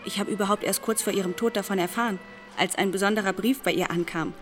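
Female radio drama lead actress, thoughtful granddaughter, musing delivery, mid pitch, light music underneath. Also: steady tone, fast talker, lightly acted. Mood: subdued, sad. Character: pensive granddaughter of a wartime codebreaking veteran. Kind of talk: radio drama acting and narration.

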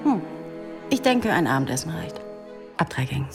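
A woman's voice: sits low, sing-song, normal speed, creaky, over loud music, full character voice. An actress playing a shrewd, flirtatious policewoman in a German radio play, dry and self-assured. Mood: teasing.

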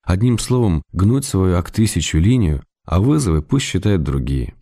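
An actor playing a wry, affectionate middle-aged writer who reads his letters in a measured, gently ironic way for a radio drama. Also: low voice, lively intonation. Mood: calm, consoling.

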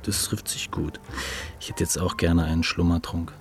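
Deep-voiced, suave rich bar regular. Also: male voice, level tone, moderate pace, whispered. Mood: suave, commanding. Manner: suave, casually commanding. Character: smooth, commanding wealthy male hotel-bar regular, generous and sociable. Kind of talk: German radio drama acting.

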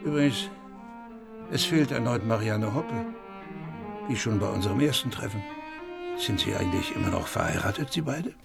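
A man's voice: fairly low pitch, wildly swooping pitch, moderate pace, creaky, long pauses, over music, full character voice. An actor playing a detached, clinical male surgeon in a radio play. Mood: cool. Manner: dry, detached.

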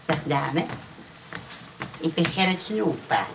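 An aged grandfather in a radio play, a retired burglar with a gravelly, creaking voice. He is folksy and polite, repeats himself and sounds wistful, over a faintly noisy recording. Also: a little high, lively intonation, takes it slowly, exaggerated voice. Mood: polite, eager. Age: older. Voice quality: creaky old voice. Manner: hesitant, repetitive, folksy and courteous.

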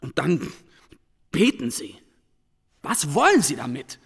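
A man's voice: a little high, huge pitch swings, slow, gravelly, a bit bright, breathy edge, big-room sound, heavily acted. A man in a radio play acting an earnest, brooding schoolteacher who questions and reflects with intensity. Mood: agitated. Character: brooding, earnest male schoolteacher, fascinated and indignant. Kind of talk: German radio drama dialogue.

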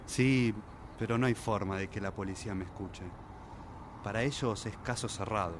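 A man's voice: fairly low pitch, moderate pace, lightly acted. A man with an Argentine accent playing a grieving, suspicious son in a radio drama, speaking insistently as he thinks aloud. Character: grieving, suspicious son obsessively investigating his father's death. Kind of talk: Spanish-language radio drama acting. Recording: clear street and traffic noise.

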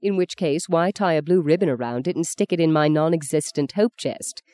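A woman voicing a sharp-tongued young actress. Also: sits low, close to an everyday voice. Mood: teasing. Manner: quick, cutting, exasperated.

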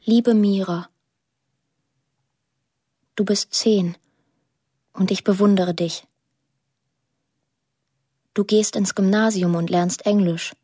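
An actress voicing a lonely, imaginative eight-year-old girl in a radio drama monologue, plain, deliberate and earnest. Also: medium pitch, fairly even intonation, dark tone, breathy edge, no room sound, clean studio sound. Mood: tender, hopeful.

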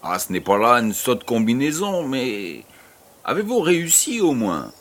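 A genial aristocratic baron acted by a man talks with a lively, swooping mid-range voice. He is urbane, curious and gently teasing, with a touch of condescension, in the manner of an audio drama. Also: medium pitch, a little slow, heavily acted. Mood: polite doubt.

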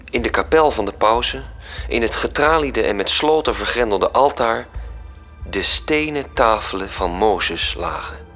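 A wistful storytelling angel in a radio play, a male voice of medium pitch. He talks in a measured way, with faint music beneath his voice. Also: a bit bright. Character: wistful angel recounting the tale to his superior. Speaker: narrator. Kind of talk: radio drama narration. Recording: music under the voice.

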